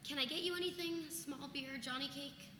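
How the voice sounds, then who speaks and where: A woman's voice: high voice, fairly even intonation, fairly quick, close to an everyday voice. A woman acting a weary, exasperated young colonial mother in a staged play reading, frank and modern in her speech. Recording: echoey hall, noisy background.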